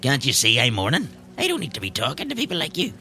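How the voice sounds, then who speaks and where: Man playing a gravelly, evasive old pub drinker. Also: mid-range voice, huge pitch swings, pushed and bright, heavily acted. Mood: guarded, irritated. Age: older. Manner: halting, defensive, repeats himself. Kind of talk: improvised tabletop roleplay.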